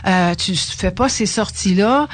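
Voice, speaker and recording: A woman's voice: mid-range voice, unhurried, no room sound. An older woman, a guest on a radio show, explaining her experiences earnestly. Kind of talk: radio interview. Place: radio studio.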